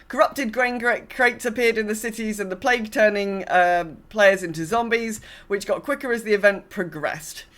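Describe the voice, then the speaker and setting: A woman's voice: mid-range voice, normal speed, hardly any pauses, fairly bright tone. A woman co-hosting a podcast, talking casually and a little wryly about a video game. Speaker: podcast co-host.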